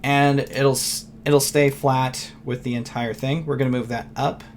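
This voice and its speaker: A man who is losing his voice talks loosely through a software tutorial, rambling and thinking aloud with fillers and throat clearing. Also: mid-range voice, flat delivery, a little slow, a little hiss or hum. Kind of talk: casual screen-recorded tutorial.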